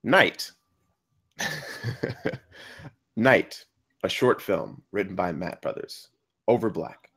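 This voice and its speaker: A man narrates a script in a gravelly voice with lively swings in pitch. His delivery is deliberate and announcer-like, loosening into casual asides between the read lines. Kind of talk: reading screenplay directions aloud, with casual asides.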